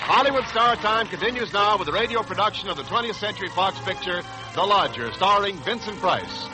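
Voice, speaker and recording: A man's voice: high-pitched, lively intonation, brisk, over loud music, poor audio. An American man announcing in scripted 1940s radio style, emphatic, formal and polished, on an old broadcast. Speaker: radio announcer and sponsor spokesman.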